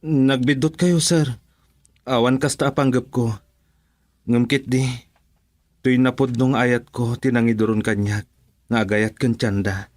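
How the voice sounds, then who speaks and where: An earnest, humble young Filipino man in love, played by a male actor in a radio drama. He speaks respectfully and devotedly in a medium-pitched voice. The recording is dry, with no room sound. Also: fairly even intonation, measured pace, pauses often, a little mellow, no room sound, natural voice. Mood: respectful, wounded.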